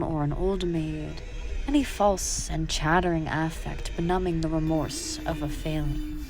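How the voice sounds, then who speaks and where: A woman giving a deliberate, solemn dramatic reading of literary prose. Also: lowish voice, rough recording. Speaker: reader. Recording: music behind the voice. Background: music.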